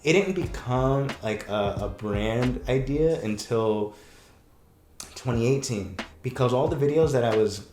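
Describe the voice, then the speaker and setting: A man, a breakup coach, talking casually on a podcast, telling stories with lots of restarts and quick laughs. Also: mid-range voice, echoey room, faint music behind. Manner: animated storyteller, laughs often, rambling restarts.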